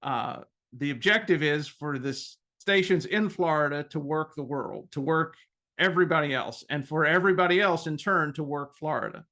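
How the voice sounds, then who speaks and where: A man with a somewhat higher-pitched voice explains rules in an informal presentation. His phrasing is halting, with frequent ums and restarts as he works through each point. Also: sharp tone. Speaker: club president presenting contest rules.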